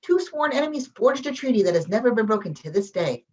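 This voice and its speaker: Distant, dull audio of an earnest, idealistic peacemaker read by a woman at a table read. Her voice is on the high side and persuasive. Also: very animated, quick pace, darkish tone. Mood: earnest pleading. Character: earnest, idealistic peacemaker who pities the shark. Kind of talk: amateur script table read.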